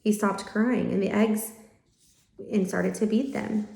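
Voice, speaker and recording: A woman narrates a children's storybook as a read-aloud host, expressive in delivery. Her voice has a creaky edge and an ordinary mid pitch, and the room echoes around her. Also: a little slow. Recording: roomy, echoing home-style recording.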